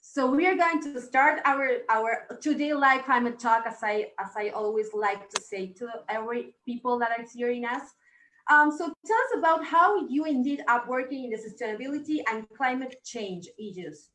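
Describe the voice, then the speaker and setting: Woman host, slightly high voice, effusive, echoey room recording. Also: moderate pace, fairly bright tone, a little hiss or hum. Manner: effusive, religious blessings. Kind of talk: live talk show hosting.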